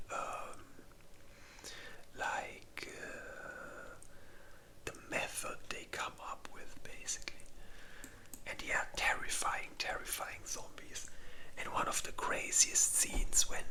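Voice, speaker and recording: An ASMR host speaking entirely in a breathy whisper, chatting casually and informally with rambling, hesitant pauses. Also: very high-pitched, bright, forceful tone. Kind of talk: whispered ASMR movie ranking, casual chat.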